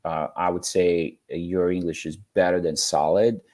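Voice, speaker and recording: A man's voice: deep voice, little pitch movement, a little slow, a little mellow. A man whose first language is Serbian, giving encouraging sales feedback in English with frequent restarts during an online session. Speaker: sales mentor.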